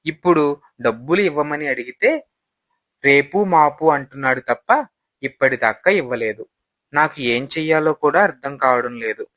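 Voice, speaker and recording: Bright-voiced regretful husband played by a man. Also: fairly high pitch, expressive, normal speed, no echo. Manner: earnest, explaining. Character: regretful, troubled husband, a too-trusting friend.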